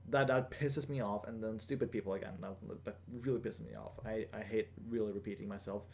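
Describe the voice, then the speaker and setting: A young man hosting a casual Q&A video, talking in a flat, monotone way with lots of fillers and restarts. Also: lowish voice, brisk, creaky, slight background noise. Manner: monotone, rambling with many fillers. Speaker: gaming channel host. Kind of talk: casual Q&A monologue.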